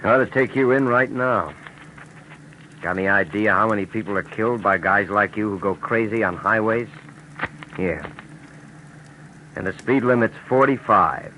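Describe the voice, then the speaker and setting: Brief: an impatient traffic cop, low and bright, curt. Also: male voice, moderate pace, pauses often, low-quality recording. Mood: stern. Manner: brusque, impatient. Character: gruff male highway patrol officer. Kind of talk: 1940s radio acting.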